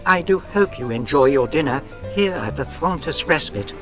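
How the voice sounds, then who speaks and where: A man performs a courteous robot waiter from a sci-fi audiobook, pitched on the high side. The delivery is formal and servile, with an artificial inflection, and music plays clearly behind it. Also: animated, moderate pace, lightly acted. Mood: polite, formal. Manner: courteous, formal butler-like phrasing. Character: polite protocol droid waiter, male-voiced, formal and servile. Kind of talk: audiobook character voice.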